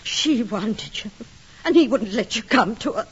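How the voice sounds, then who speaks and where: An actress playing a kind, plump housemaid who speaks slowly and tenderly in a 1950s radio drama. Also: female voice, a little high, animated. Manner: slow, tender, homely. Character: plump kindly old-fashioned housemaid. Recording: dull lo-fi vintage radio recording with hiss.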